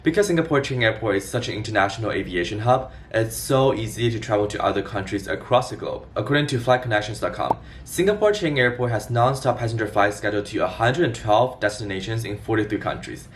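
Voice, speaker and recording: Quick, low-voiced young man vlogging. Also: animated, distant mic, a little hiss or hum. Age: 20s. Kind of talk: scripted explainer vlog to camera.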